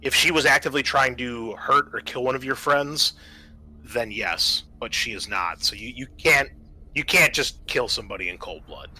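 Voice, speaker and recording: Sharp, forceful man running a game. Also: medium pitch, normal speed, breathy edge, rough recording. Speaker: game master. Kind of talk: narrating and ruling a tabletop roleplaying game.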